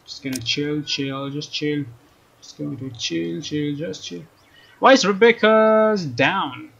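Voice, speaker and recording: A man's voice: a little high, slow pace, vocal fry, fairly bright tone, highs cut off, a little hiss or hum. A man doing a let's play, reading a visual novel aloud. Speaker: let's player. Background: guitar game music.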